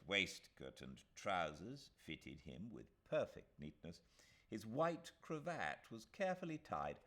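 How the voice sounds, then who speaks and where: Very deep-voiced British male narrator. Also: fairly bright tone. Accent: British English. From Britain. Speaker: audiobook narrator. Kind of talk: literary audiobook reading of a classic novel.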